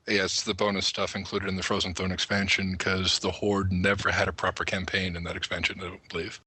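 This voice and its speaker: A man with a very low voice that is husky yet forceful and bright at the edge. He discusses game lore casually as a podcast co-host, in a dry recording. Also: flat delivery, fairly quick, no room sound, low-quality recording.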